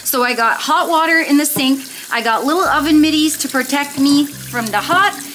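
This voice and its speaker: Woman, chatty cooking vlog, home kitchen, crisp bright voice, slightly high. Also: moderate pace, a little hiss or hum. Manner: chatty, upbeat, cutesy word play. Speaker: vlogger. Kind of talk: casual cooking meal-prep walkthrough.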